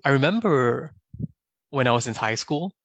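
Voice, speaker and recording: Young man, gravelly timbre, dry close sound; measured, reflective guest. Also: mid-range voice, expressive, fairly bright tone, dry recording. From Singapore. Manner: thoughtful, measured, often adds a questioning "right?". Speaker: podcast guest. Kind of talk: casual interview answers.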